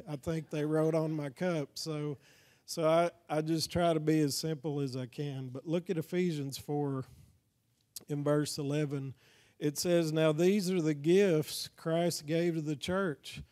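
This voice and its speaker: Mellow-voiced Texan preacher, slightly high. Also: male voice. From Texas, United States. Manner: plain-spoken, folksy, seeks agreement from listeners. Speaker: evangelist, guest preacher. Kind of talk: evangelism training sermon from a pulpit. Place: church, speaking to a congregation.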